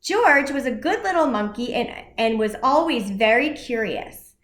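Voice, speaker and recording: A woman reading a children's storybook aloud in simple, deliberate phrases, as an educator addressing young listeners. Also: on the high side, lively intonation, a bit bright, reverberant.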